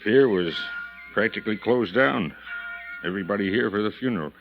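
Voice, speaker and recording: An actor playing a plainspoken, homely pier worker in a 1950s radio drama. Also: male voice, on the low side, a little fast, gravelly. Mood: somber. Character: folksy middle-aged pier worker man. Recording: dull old radio recording with music.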